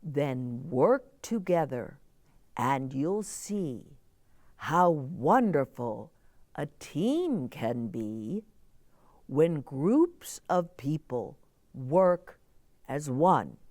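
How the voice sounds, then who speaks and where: A woman voicing a wise, kindly Moon from a storybook, giving encouraging advice in measured rhyme. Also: low voice, sing-song, warm tone, no echo, heavily acted.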